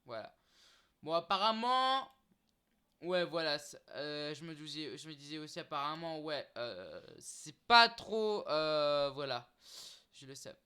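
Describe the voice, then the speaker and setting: A young man with a high, bright voice chats loosely to camera, stumbling through restarts and filler sounds as he goes. His tone is clean and smooth, and he keeps turning to his viewers as "guys." The talk is unscripted. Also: lively intonation, a little slow. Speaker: YouTuber listing streaming titles. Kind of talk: casual unscripted vlog talk.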